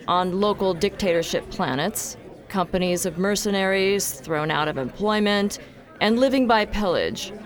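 A woman's voice: medium pitch, lightly acted. A woman playing a hard-nosed, commanding military captain in an audio drama, speaking bluntly.

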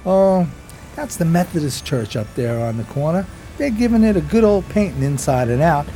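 A man's voice: a little high, lively intonation, normal speed, mellow, slight background noise, lightly acted. An actor playing a simple, loyal, streetwise homeless man of 1920s New York, talking in folksy slang for radio theater. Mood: chatty, matter-of-fact.

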